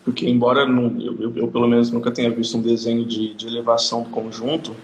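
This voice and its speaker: A Brazilian man hosting a live stream, talking casually in a measured way and repeating words as he gathers his thoughts. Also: medium pitch, completely flat delivery, roomy sound, poor audio.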